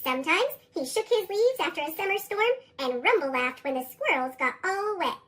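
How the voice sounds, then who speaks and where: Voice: extremely high pitch, moderate pace. An American narrator reading children's stories expressively, through a sped-up chipmunk voice effect. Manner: animated, expressive. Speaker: storybook narrator. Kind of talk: scripted children's read-aloud narration.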